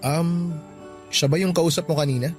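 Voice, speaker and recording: Man playing considerate young office guy, Filipino accent, fairly high pitch, friendly, radio drama, faint music. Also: steady tone, moderate pace, natural voice. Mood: friendly, attentive. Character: friendly, considerate 29-year-old male bank employee.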